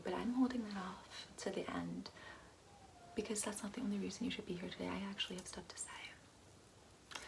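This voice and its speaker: A woman whispering a soft-spoken ASMR roleplay as a ditzy, materialistic class instructor, breathy and chatty with girlish, rambling asides. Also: mid-range voice, noisy background. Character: ditzy, materialistic gold-digger giving a class.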